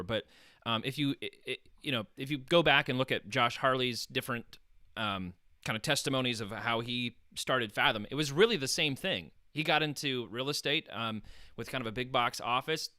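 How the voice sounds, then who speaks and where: A man's voice: mid-range voice, sharp tone, dry recording, clean studio sound. A man hosting an interview, reacting with enthusiasm and thinking aloud with frequent fillers. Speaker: interview host. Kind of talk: conversational interview.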